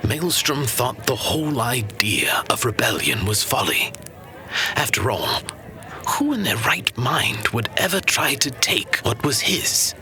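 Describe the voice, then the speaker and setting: An actor playing a seasoned, patient master storyteller teaching his pupil in a fantasy audio drama, with measured, theatrical, breathy delivery. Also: mid-range voice, very animated, pushed and bright, heavily acted. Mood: dramatic explaining.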